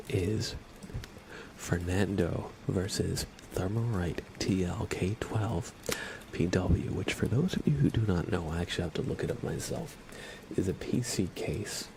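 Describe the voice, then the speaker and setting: A soft-spoken man who sounds slightly under the weather, chatting casually as an ASMR livestream host and often slipping into whispers. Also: sits low, slow pace, gravelly, background noise.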